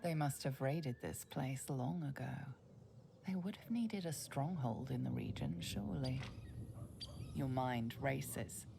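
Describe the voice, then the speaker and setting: A woman playing a grave, all-knowing narrator of a dark fantasy game, delivering scripted second-person narration slowly and ominously. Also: low-pitched, vocal fry, a little hiss or hum, full character voice. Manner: slow, deliberate, ominous.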